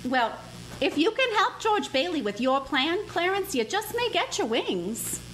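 A woman voicing a senior angel who tells the story in expressive narration, in a community radio play. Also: very high-pitched, unhurried, full character voice, low-quality recording.

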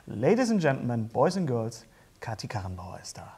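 A middle-aged man hosting a casual interview, often halting and rephrasing himself mid-sentence. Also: mid-range voice, sing-song, mellow, breathy edge. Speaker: talk show host. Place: indoor studio talk-show set, seated at a table.

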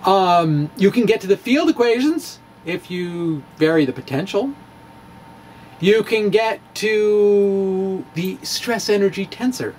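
A middle-aged man giving a dramatic reading of a science blog to camera, theatrical and emphatic, with halting phrasing and stretched words. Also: high voice, slight background noise. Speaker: amateur physicist and video blogger. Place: small indoor room with bookshelves. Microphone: handheld-style microphone on a stand, close in front.

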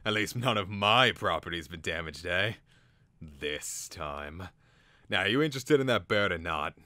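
A scornful, sweaty pet trader from a storybook, played by a male narrator. He grumbles in a wheezy, gruff male voice, bitter and loud. The recording is dry and close. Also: low-pitched, expressive, a little slow, no echo, exaggerated voice. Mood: wheedling.

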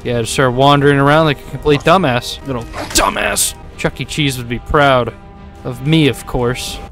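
A man's voice: mid-range voice, steady tone, normal speed, fairly bright tone, soft background music. A man in his twenties with an American accent, giving sarcastic, joking, sweary gameplay commentary into a desk microphone. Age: 20s. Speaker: gaming YouTuber. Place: small home room, indoors. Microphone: desk condenser microphone with headset worn.

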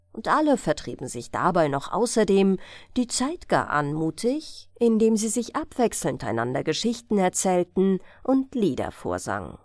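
A woman reads a fairy tale as an audiobook narrator. Her delivery is unhurried and very melodic, with an expressive storyteller's phrasing. The recording is dry and close. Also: fairly low pitch, no room sound.

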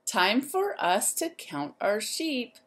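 A woman's voice: a little high, very animated, takes it slowly, fairly bright tone. A woman in her thirties, a teacher reading a picture book aloud to young children in a sing-song way, speaking to them directly.

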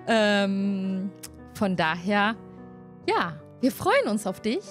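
A woman's voice: mid-range voice, sing-song, extremely slow. A middle-aged woman hosting a tabletop role-playing channel, chatting casually to viewers into a close desk microphone. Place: indoors at home, video call. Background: music.

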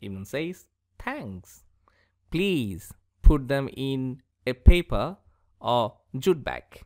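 A man teaching a school lesson in a voice-over, reading a dialogue aloud in a slow, deliberate way. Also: medium pitch, sing-song. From Bangladesh. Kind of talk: scripted English lesson for schoolchildren. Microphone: voice-over over slides.

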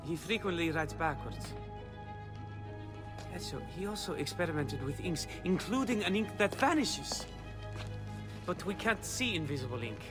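An actor playing a frustrated, excitable young artist's assistant in a video game. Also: male voice, high voice. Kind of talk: video game cutscene acting. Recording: music behind the dialogue.

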